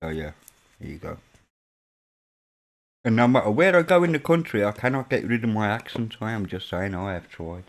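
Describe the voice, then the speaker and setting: A man with a Birmingham accent chats casually on his live stream. His voice sits a little low, and he stumbles and repeats words as he talks. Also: expressive, moderate pace, long pauses. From England. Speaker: stream host.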